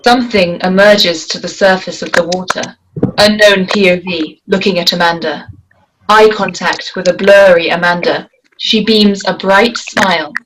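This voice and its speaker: A woman's voice: lowish voice, moderate pace, noisy background. A woman reading scripted stage directions as the narrator of a screenplay.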